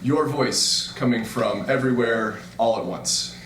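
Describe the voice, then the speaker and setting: A man playing a bewildered, weary man in a naturalistic audio drama, hesitant and halting. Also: mid-range voice, fairly bright tone, echoey room, a little hiss or hum, lightly acted. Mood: bemused. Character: bewildered, weary dead man revived inside a simulation.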